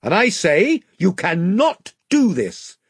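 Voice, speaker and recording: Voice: mid-range voice, sing-song, takes it slowly, breathy edge, dry recording, studio quality, voice pushed up, exaggerated voice. Brief: earnest storybook boy, stern and direct, voiced by the narrator. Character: blunt, earnest schoolboy.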